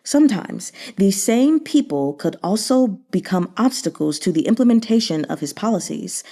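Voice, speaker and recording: A woman reading a novel aloud as narrator, in a measured storytelling manner. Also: medium pitch, expressive, mellow. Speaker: audiobook reader.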